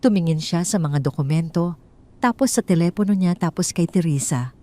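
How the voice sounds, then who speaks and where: Low, mellow woman storyteller. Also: moderate pace. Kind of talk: scripted dramatic narration.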